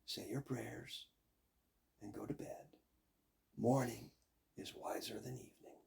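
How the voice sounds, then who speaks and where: A man voicing a small magical doll from a folk tale, a gentle and wise female helper with a soothing manner. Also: medium pitch, steady tone, slow, creaky, whispered.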